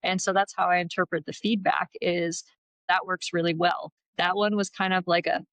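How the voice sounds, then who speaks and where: A woman co-hosting a podcast, with a deeper voice, chatting casually and thinking aloud as she goes. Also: level tone, moderate pace, fairly bright tone, no echo, rough recording. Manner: rambling, thinks aloud with restarts. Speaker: podcast co-host. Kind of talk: casual chat.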